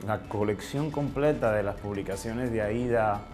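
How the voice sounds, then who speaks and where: A man with a Dominican accent and a fairly low voice speaks as an interview guest. He is reflective and feels his way through sentences, often pausing for a word or adding a short questioning tag. Faint music plays behind him. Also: darkish tone. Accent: Dominican Spanish. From Dominican Republic. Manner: hesitant, searching for words, frequent question tags.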